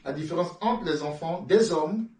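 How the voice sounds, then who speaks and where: This is a man from France preaching a sermon in French with a London-tinged accent of his own. He reads scripture, then stumbles over repeated words before climbing into emphatic bursts. His voice sits somewhat high and comes from back in an echoey room, away from the microphone. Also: normal speed, warm tone, recorded from a distance, a little hiss or hum. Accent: French with a London-influenced lilt. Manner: repeats words, stammering restarts, rising emphatic peaks. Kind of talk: sermon with Bible reading.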